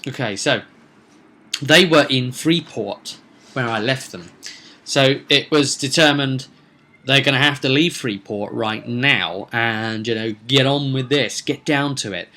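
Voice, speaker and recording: A man talking informally as a game master in a vlog, in a rambling, digressive way with halting self-corrections. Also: mid-range voice, sharp tone. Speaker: game master recapping his roleplaying sessions.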